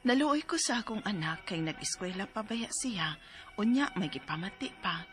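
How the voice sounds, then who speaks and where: A woman's voice: mid-range voice, expressive, normal speed, over loud music, close to an everyday voice. A woman acting an anxious, fussing middle-aged mother with a Cebuano accent in a radio drama, exclaiming as she goes. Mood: earnest narrating.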